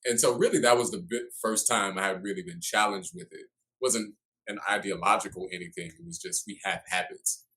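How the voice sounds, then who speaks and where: A man co-hosting a podcast, speaking thoughtfully with frequent pauses and restarts. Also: on the low side, bright, forceful tone, rough recording. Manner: thoughtful, hesitant with many "um"s and restarts. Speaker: podcast co-host. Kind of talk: casual conversational interview.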